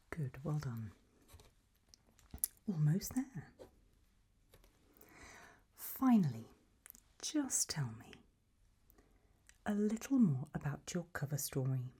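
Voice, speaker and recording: A woman doing a whispered ASMR roleplay as an examiner, hushed and deliberate, pausing between short phrases. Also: deep voice, very animated, husky. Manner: slow, deliberate, softly instructive with long pauses between options.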